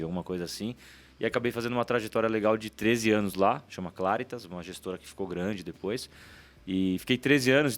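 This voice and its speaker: Fast-talking Paulistano man in his forties. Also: deep voice. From São Paulo, Brazil. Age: 40s. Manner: quick, chatty, leans on "né" tags. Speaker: guest, startup CEO. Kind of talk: casual interview storytelling.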